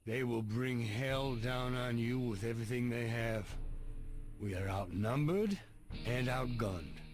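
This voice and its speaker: An actor playing a blunt, commanding big-brother gunfighter in a western video game cutscene. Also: male voice, mid-range voice, a little slow, faint music behind, close to an everyday voice. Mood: grim warning. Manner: terse, commanding.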